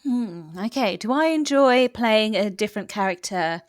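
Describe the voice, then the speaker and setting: A woman's voice: medium pitch, lively intonation, normal speed, dry recording, studio quality, natural voice. A woman playing a smug, vain actress in a mock documentary interview. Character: smug, vain actress boasting of her range.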